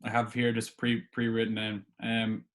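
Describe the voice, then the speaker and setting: A man's voice: fairly low pitch, monotone, moderate pace. A man hosting a casual podcast interview, asking questions in an informal, hesitant way.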